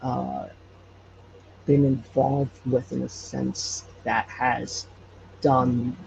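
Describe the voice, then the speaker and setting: A man chatting casually as an interview guest, with hesitant, halting phrasing. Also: medium pitch, flat delivery, creaky. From Hawaii. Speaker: podcast guest, audio drama creator. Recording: dull lo-fi sound, faint noise.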